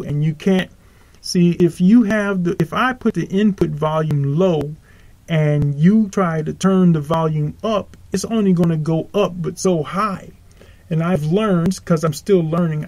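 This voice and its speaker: A man's voice: fairly high pitch, darkish tone, dry recording. A man preaching informally on his own podcast, rambling and correcting himself as he goes. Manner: rambling, self-correcting, earnest. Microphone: home podcast microphone.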